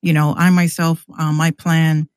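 An American woman chatting casually and candidly in a slangy, animated way, acting out exchanges as she tells stories. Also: low voice, measured pace, dry recording, studio quality. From New York City, US. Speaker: podcast guest, rapper and radio show host. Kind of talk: casual conversational storytelling.

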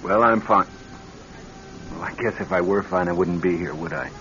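An actor in a radio drama playing a nervous young married executive troubled by bad dreams, speaking in a halting, earnest way. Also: male voice, low voice, bright, forceful tone, slight background noise. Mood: uneasy. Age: young adult. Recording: dull band-limited old radio recording.

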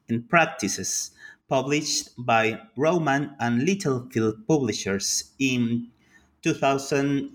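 Polite, formal podcast host man. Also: medium pitch. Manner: polite, formal, slightly halting. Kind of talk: formal interview hosting.

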